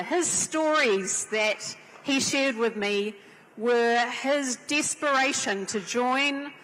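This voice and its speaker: Kiwi woman on a panel, slow earnest talk, voice a bit high, big echoey hall. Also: fairly bright tone, slight background noise. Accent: New Zealand. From New Zealand. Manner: measured, earnest, drawn-out delivery. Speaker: panel speaker. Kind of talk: formal speech on a panel. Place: large conference hall. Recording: long hall reverb.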